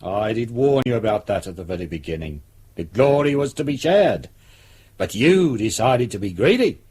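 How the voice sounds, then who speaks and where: Casting: older British police chief, domineering and cutting, condescending delivery, radio play. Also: male voice, fairly low pitch, sing-song, moderate pace, darkish tone, slightly rough. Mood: scolding. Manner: condescending, scolding, cold. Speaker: actor.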